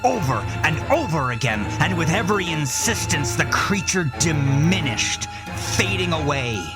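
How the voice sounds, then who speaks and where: A man narrating a first-person horror story with intense, deliberate, theatrical delivery and a husky voice. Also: fairly high pitch, very animated, over loud music.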